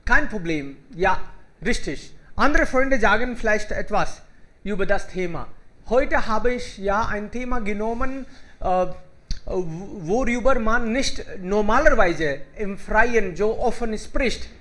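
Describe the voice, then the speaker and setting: This is a man with a very high, bright voice who hosts a conversation club over a video call. He speaks in simple, halting sentences and pauses often to welcome the participants. His tone stays clear and bright throughout. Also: animated. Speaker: host of an online German conversation club. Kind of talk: casual group conversation in learner German.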